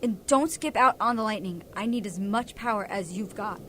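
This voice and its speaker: A woman playing a determined, feisty young superhero in an audio drama, speaking briskly and resolutely. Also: mid-range voice, a bit bright, slight background noise, natural voice.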